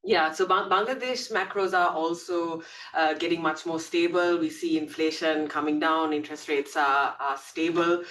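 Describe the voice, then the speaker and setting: A woman's voice: fairly even intonation, moderate pace, fairly bright tone. A woman speaking as a company executive, giving a business update on an earnings call. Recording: distant mic, faint rumbling noise.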